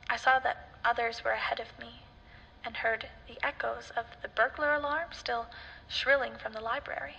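A woman playing a haunted scholar in a horror radio drama, narrating in a solemn, deliberate, foreboding way. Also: fairly high pitch, fairly even intonation, creaky, pushed and bright, breathy edge, highs cut off, full character voice. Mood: tense. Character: grave, haunted scholar recounting horrors, ominous.

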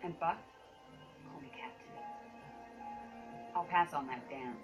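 Low-voiced cool officer. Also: female voice, takes it slowly, lo-fi sound. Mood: cool, curt. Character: cool, authoritative female naval intelligence captain. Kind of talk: video game cutscene acting. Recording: distant TV speakers with loud music.

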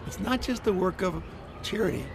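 A man's voice: sits high, big pitch swings, moderate pace, gravelly. An older man, a priest, speaking in an interview outdoors. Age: older, 60s or 70s. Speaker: priest, charity leader. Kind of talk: interview soundbite. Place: outdoors by a building. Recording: outdoor street and traffic noise.

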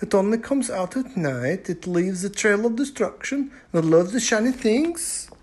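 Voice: mid-range voice, expressive, measured pace, pitched above the performer's own voice. A storyteller voicing a curious little Viking girl who wonders aloud in a storybook reading. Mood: wondering. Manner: wondering, hushed.

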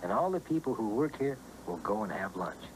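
A man doing a brisk factory foreman. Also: fairly low pitch, very animated, very noisy, pitched below the performer's own voice. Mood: instructive. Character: big factory foreman man, bossy but kind.

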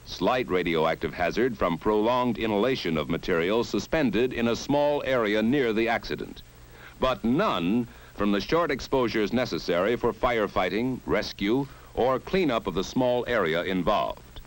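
American guy doing old military training film narration, lowish voice, really varied pitch, authoritative. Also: animated, moderate pace, rough recording. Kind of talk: scripted narration, mid-century instructional film.

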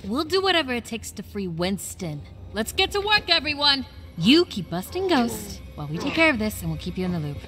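Sardonic, animated game team leader. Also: female voice, a little high, faint music behind. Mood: decisive. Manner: brisk, mocking, decisive. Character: sardonic, commanding female leader of ghost hunters. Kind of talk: game cut-scene acting.

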